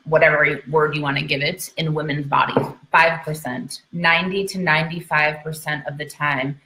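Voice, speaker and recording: A woman's voice: deep voice, fairly bright tone. A woman giving an informal educational talk to an online audience, emphatic, repeating key points and checking in as she goes. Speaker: speaker, midwife and trainer. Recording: roomy, echoey sound.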